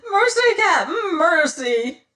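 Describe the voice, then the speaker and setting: A reader voicing a fat, cruel male pirate boatswain with a high-pitched, squealing voice, wailing and pleading. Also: animated, slow, a bit bright. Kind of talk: audiobook character voice.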